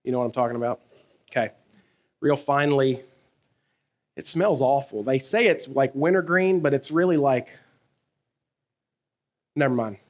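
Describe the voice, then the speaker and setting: A man, preaching a sermon in a conversational, animated way, asking his listeners questions and telling stories from his own life. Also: mid-range voice, normal speed, pauses often. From American South. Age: 30s. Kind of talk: sermon with personal storytelling.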